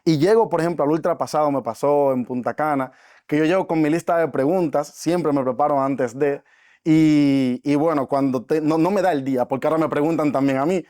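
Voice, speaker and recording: A man's voice: fairly high pitch, a little fast, a little mellow, no room sound. Earnest young man, dry sound. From Dominican Republic. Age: 20s. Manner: earnest and reflective, with frequent fillers. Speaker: podcast guest. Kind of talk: casual interview talk.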